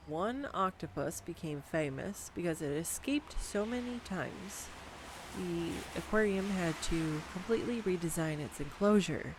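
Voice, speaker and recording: A woman voicing a nerdy, affectionate girlfriend who rambles excitedly about animal facts in an intimate audio roleplay. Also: fairly low pitch, slight background noise. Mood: curious. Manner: enthusiastic, rambling, tangent-prone, giggly. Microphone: studio USB microphone.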